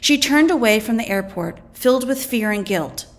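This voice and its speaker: Woman, slightly high voice, teacher, scripted read-aloud, instructional, explanatory. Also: animated, normal speed. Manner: instructional, explains and poses questions. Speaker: teacher reading lesson aloud.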